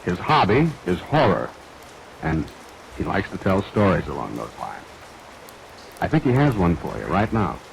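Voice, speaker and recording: Low-voiced, sly radio mystery sergeant. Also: male voice, very animated, moderate pace, gravelly, pauses often, heavily acted. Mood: folksy, wry. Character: sergeant hosting spooky mystery show, sly and folksy. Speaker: show host. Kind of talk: 1940s radio mystery introduction. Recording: noisy vintage radio recording, rain behind.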